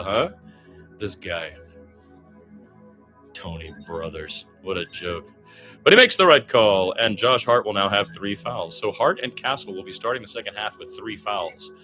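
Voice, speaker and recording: A man's voice: fairly low pitch, pushed and bright. A man doing live radio basketball play-by-play in a conversational, opinionated, exclamatory way.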